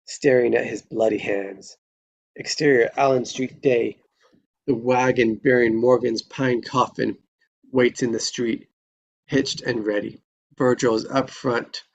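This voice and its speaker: A man's voice: medium pitch, vocal fry, muffled recording. A man narrating a screenplay at a live table read, stumbling over words now and then and correcting himself.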